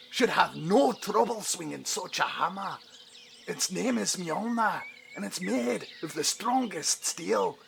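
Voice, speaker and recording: A man playing a proud, vengeful dwarf smith with a creaky, gravelly voice in a fantasy audio drama. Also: sits high, fairly bright tone, full character voice. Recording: amateur recording with background noise.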